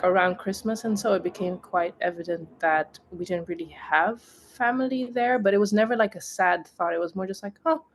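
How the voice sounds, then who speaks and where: A young woman who grew up in Tanzania, a podcast guest telling her story in a relaxed, thoughtful way. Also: on the low side, slight background noise.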